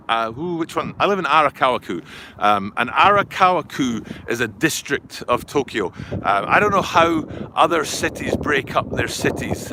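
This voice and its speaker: A man filming a live outdoor vlog, talking in a rambling, chatty way and often correcting himself. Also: medium pitch. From Scotland. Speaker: vlogger. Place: outdoors by a river and highway in a city. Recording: faint outdoor street noise. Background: traffic and city ambience.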